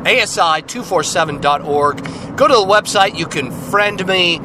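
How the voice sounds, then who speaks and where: Hoarse, raspy man rambling on a podcast. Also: sits high, moderate pace, background noise. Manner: joking, loose, self-deprecating. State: hoarse, getting over a cold. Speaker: podcast host. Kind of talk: casual rambling monologue.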